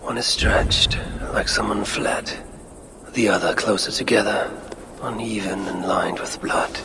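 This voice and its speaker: An actor playing a grim, sardonic, arrogant older Norse god in a video game, muttering in a gravelly, measured, haughty way. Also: male voice, on the low side, steady tone, whispered. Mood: grim musing. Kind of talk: dramatic video game voice acting.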